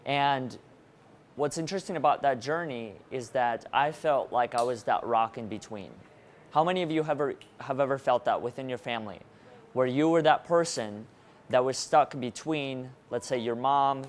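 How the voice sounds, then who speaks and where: A man born in Russia speaks to a live audience in an engaging motivational style, often turning to the crowd with questions. His voice sits at a middle pitch. A faint hiss of room noise runs under it. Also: normal speed. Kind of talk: interactive presentation to a live audience.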